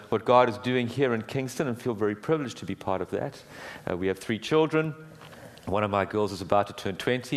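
A middle-aged man delivers a sermon with a booming voice, earnest and conversational. He circles back and restarts phrases as he speaks, his voice at an ordinary middle pitch for a man. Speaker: guest preacher. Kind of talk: sermon with prayer. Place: church hall.

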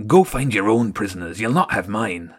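Storybook villain: man voicing a boastful armored rat guard, low and menacing. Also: big pitch swings, moderate pace, breathy edge. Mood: possessive. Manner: threatening, boastful. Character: gruff giant armored rat guard, cocky.

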